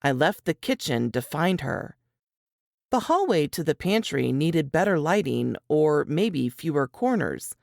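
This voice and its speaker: Creaky, low-pitched woman narrating a suspense novel. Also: very animated, a little slow, a little mellow, dry recording, clean studio sound.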